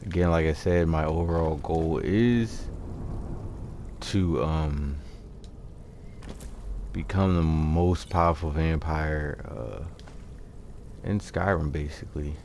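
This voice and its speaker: Very deep-voiced man, slow gameplay commentary. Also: a little mellow. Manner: halting, thinking aloud. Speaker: gaming video host. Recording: clear background game noise. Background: video game ambience.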